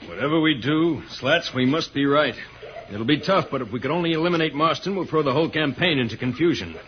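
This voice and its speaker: Casting: male actor as calm, authoritative space-adventure leader, earnest radio serial style, hissy dated recording. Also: a little high, fast talker, close to an everyday voice. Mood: grave resolve. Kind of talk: 1950s radio serial acting. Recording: dull, noisy old radio recording.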